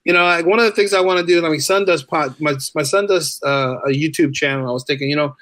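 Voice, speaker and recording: Middle aged guy on a podcast, kinda higher bright voice, chatty storytelling, repeats stuff to make a point. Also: fast talker, no room sound. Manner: chatty, informal, emphatic repetition. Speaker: podcast guest, teacher and writer. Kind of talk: casual conversational storytelling.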